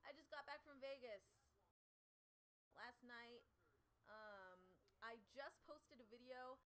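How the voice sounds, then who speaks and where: A woman with a slightly raspy voice chatting casually and playfully in slang as a live stream host, full of exclamations. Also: a little high, takes it slowly, fairly bright tone, rough recording. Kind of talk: casual livestream chat.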